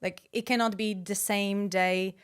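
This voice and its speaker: A young woman whose first language is Polish, chatting in English as a podcast guest, lively and full of fillers. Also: mid-range voice, unhurried, clean tone. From Poland. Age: young adult. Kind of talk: casual interview chat.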